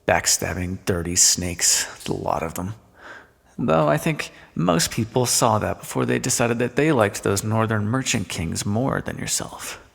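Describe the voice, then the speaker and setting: A man playing a smug, sardonic thief in an audio roleplay, speaking with mocking mock-courtly politeness and drawn-out emphasis in a long echo. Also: on the low side, lively intonation, creaky, a bit bright, full character voice. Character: smug, sardonic male thief, gloating at a fallen monarch. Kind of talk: scripted audio roleplay, theatrical monologue. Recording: long echoing reverb.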